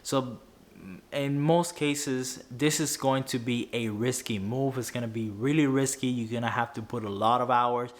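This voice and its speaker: A man's voice: medium pitch, fairly even intonation, moderate pace. Earnest Spanish-speaking man, stumbling delivery. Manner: earnest, stumbling with many restarts. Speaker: YouTuber. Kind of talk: unscripted finance advice to camera.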